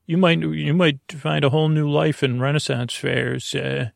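A man hosting a sleep podcast with a gravelly voice, talking in a hesitant, halting, meandering bedtime monologue that drifts into tangents. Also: on the high side, flat delivery, no echo, studio quality. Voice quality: gravelly, creaky.

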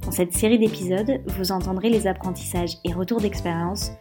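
A woman's voice: medium pitch, normal speed. Woman reading an intro over music. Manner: even, read-aloud delivery. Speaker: podcast host. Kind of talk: scripted podcast introduction. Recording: voice over clear music bed.

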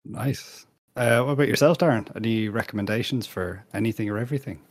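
A man's voice: fairly low pitch, a little fast, no room sound, studio quality. A man hosting a casual panel podcast, rambling a little and swearing freely. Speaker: podcast host.

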